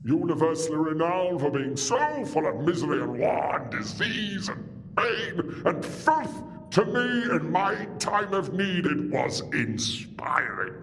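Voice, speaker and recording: An actor playing a grandiose, vengeful alien warlord in an audio drama, declaiming with theatrical menace. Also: male voice, a little high, very animated, measured pace, fairly bright tone, full character voice. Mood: scornful. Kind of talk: audio drama villain acting. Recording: long reverb with music underneath.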